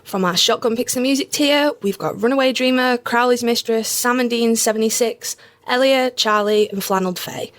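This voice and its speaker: A woman's voice: a little high, normal speed. A woman podcast host reading out a scripted announcement.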